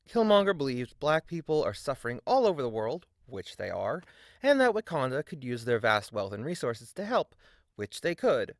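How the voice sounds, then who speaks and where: A man delivers scripted video essay narration with a very animated pitch. He is sardonic and emphatic, dropping in dry asides. The recording is close and dry, with little room sound. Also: moderate pace, no echo.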